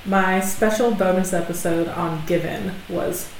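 Woman, lowish voice, gravelly, chatty and rambling, echoey dining room, hissy recording. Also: fairly even intonation, a little slow. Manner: chatty, laughs often, rambling. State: allergies. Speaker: podcast co-host. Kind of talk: casual chat.

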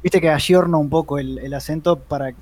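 An Argentine man co-hosting a chat, his voice a little higher than most men's. He talks in an easygoing, halting way, restarting his phrases as he goes. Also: fairly even intonation, darkish tone. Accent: Argentine Spanish. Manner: easygoing, halting, laughs often. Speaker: podcast co-host. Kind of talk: casual chat.

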